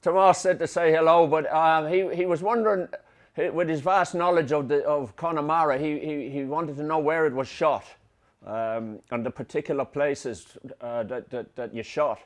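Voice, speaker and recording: A man's voice: on the high side, fairly even intonation, normal speed. A man interviewing filmmakers, asking questions in a hesitant, stumbling way. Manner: hesitant, stumbling over words.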